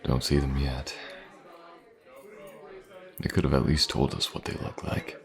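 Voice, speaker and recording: An actor playing a gruff, wary, self-assured mercenary swordsman in a fantasy audio roleplay, speaking in a dry, terse way. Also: very deep voice, measured pace, heavily acted. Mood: dry, confident.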